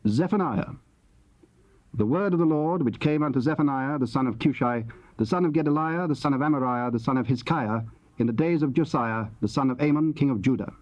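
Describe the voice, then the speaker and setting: A man narrating scripture in a formal, measured and solemn way. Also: mid-range voice, a little mellow, no room sound, poor audio.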